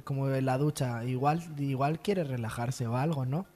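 A man's voice: medium pitch, normal speed, dark tone, close to an everyday voice. A man playing a nervous, oblivious boyfriend who stammers and repeats himself as a caller in an absurd radio comedy. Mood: flustered. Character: nervous, dim, oblivious young boyfriend phoning in.

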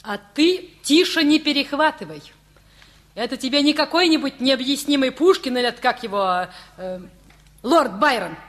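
An actress playing a bossy, sharp-tongued wife of about thirty in a radio play, scolding and sarcastic. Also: female voice, high-pitched, animated, normal speed. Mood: indignant.

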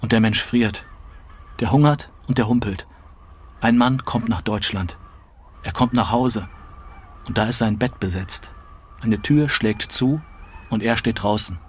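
Low-voiced man reading literary prose. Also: unhurried, pauses often, slight background noise. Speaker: literary reader.

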